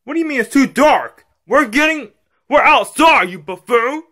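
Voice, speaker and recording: A man voicing a bratty, defiant young son in an amateur skit, whining and shouting in angry, petulant tantrums. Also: sing-song, normal speed, husky, exaggerated voice. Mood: whiny, irritated. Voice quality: high-pitched, loud. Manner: whiny, shouting, petulant name-calling.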